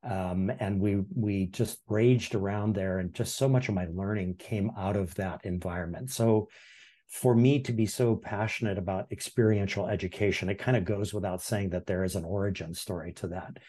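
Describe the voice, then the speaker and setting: A man in his sixties from Hawaii, telling his life story in a relaxed, reflective way as a podcast guest, with frequent ums and restarts. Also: fairly low pitch, normal speed. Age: 60s. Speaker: podcast guest, former history teacher. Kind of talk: casual interview storytelling.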